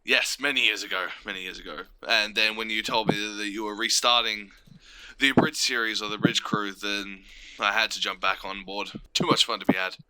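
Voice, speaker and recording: A man's voice: fairly low pitch, fairly even intonation, brisk, pushed and bright, slight background noise, poor audio. A man from Australia, a voice actor, answering questions casually in an interview.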